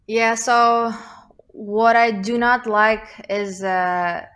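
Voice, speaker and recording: A woman's voice: on the high side, fairly even intonation, very slow, a bit bright, smooth. A young woman with a slight Russian accent, a guest talking thoughtfully in a casual interview, with many fillers and restarts. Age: young adult.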